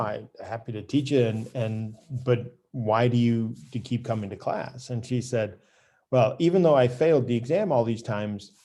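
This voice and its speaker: A man who teaches finance, telling a story in a relaxed, measured way. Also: medium pitch. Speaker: CMA instructor. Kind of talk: casual storytelling conversation.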